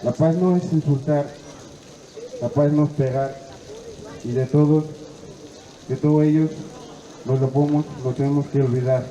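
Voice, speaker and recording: Slow man reading in a hall. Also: a little high, fairly even intonation, mellow. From Senegal. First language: Wolof. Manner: slow, hesitant reading with fillers. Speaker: adult student reading poetry. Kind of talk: poetry reading. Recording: echoey hall, dull, noisy.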